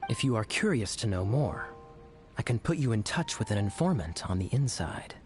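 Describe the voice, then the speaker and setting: A man voicing a suave, teasing young knight in an anime video game, smooth and slyly amused. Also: lowish voice, sing-song, faint music behind. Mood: sly, confiding. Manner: smooth, amused, sly, drawn-out words. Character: Kaya, suave, teasing young cavalry knight with sly charm.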